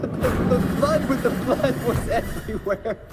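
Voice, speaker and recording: An actress playing a shaken witness in a game cutscene, upset and stammering as words fail. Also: female voice, a little high, a little slow, over loud music, close to an everyday voice. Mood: distraught. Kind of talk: dramatic game cutscene acting. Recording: loud ambient noise behind voice.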